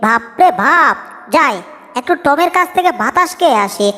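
A woman acts a tiny feisty cartoon mouse, extremely high and sharp. The little fellow is pert, teasing and quick to complain. The voice rings with long reverb over music. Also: animated. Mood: overheated complaining. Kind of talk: Bengali comic cartoon dub.

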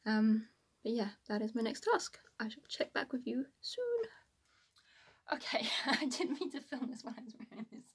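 A woman's voice: fairly high pitch, normal speed, husky. A woman, chatting casually and informally to camera as a sewing vlogger in a small room at home.

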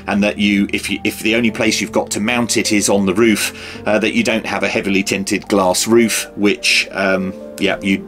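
A man's voice: on the low side, fairly bright tone. A British man in his fifties giving an informal, hesitant, unscripted how-to demonstration as a practical presenter. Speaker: presenter of a radio how-to video. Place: small home office or workshop room.

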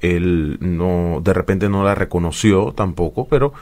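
A man's voice: sits low, a little slow, darkish tone. A man playing a polite, formal Panamanian bureaucrat with hesitant delivery in a radio prank call. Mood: officious, polite. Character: polite officious male public relations chief, prank caller.

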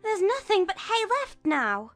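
A woman playing a frantic, excitable, squeaky March Hare messenger in a dramatized audiobook. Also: a little slow, soft background music, heavily acted. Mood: frantic, eager.

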